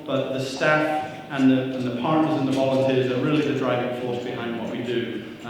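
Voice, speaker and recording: A man's voice: mid-range voice, slight background noise. A man giving a welcome speech as CEO at a breakfast event, upbeat and joking, with frequent "uh" fillers, on a handheld microphone. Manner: upbeat, self-deprecating jokes, frequent "uh" fillers. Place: church hall with audience. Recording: echoey hall, mic from a distance.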